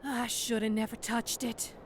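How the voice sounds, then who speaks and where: A woman's voice: a little high, flat delivery, normal speed, a little hiss or hum, lightly acted. A woman playing an exasperated, protective spaceship crew member in an audio drama, speaking emphatically. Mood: worried.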